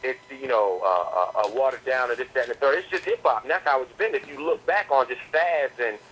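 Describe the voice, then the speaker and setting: Fast-talking male rapper on a noisy phone line. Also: medium pitch, lively intonation, a bit bright. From the southern United States. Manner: slangy, repeats words as he builds a point. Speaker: interview guest, indie rapper. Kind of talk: casual phone interview talk. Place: on a landline phone call. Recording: noisy landline phone call.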